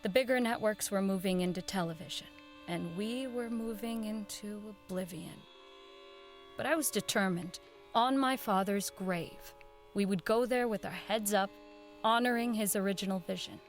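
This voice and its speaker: A woman's voice: mid-range voice, moderate pace, faint music behind, natural voice. An actress playing an idealistic, determined woman who runs a 1940s radio network, speaking in an earnest, dignified, persuasive way in an audio drama. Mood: wistful, resolute. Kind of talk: audio drama acting, dialogue and wistful first-person narration.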